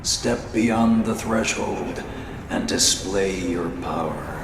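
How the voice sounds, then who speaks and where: Cryptic old warrior spirit, low voice, very slow, grave and theatrical, menacing, video game cutscene. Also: male voice. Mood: ominous. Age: older. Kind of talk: scripted dramatic game voice acting. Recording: roomy reverb, noisy game ambience.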